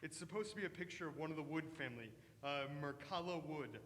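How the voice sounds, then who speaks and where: Man acting a desperate high-voiced vampire hunter. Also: fast talker, fairly bright tone, natural voice. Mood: anguished, insistent. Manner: urgent, emphatic, theatrical. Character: desperate father obsessed with destroying a vampire. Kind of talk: old-fashioned radio melodrama acting. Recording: echoey hall with faint noise.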